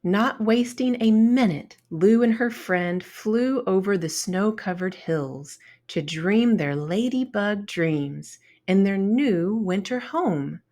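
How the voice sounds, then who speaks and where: A woman narrating a children's storybook as an expressive read-aloud. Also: fairly low pitch.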